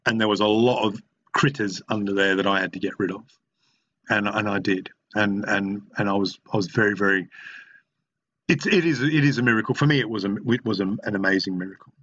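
A man's voice: fairly low pitch, fairly quick, a bit bright, lo-fi sound. A middle-aged man with an Australian accent, sharing his personal story frankly and reflectively at a recovery meeting, often stopping and restarting his sentences. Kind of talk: personal testimony.

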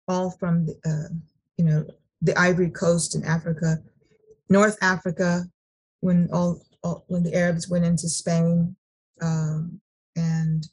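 A middle-aged woman from California sharing her personal story at a recovery meeting, halting, with many restarts and repeated words. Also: fairly low pitch, a little mellow, poor audio. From Sacramento, California.

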